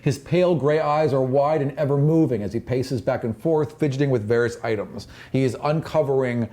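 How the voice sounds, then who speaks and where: A man's voice: mid-range voice, brisk, warm tone. A man narrating an improvised fantasy tabletop game as game master, descriptive and conversational, often repeating phrases as he explains.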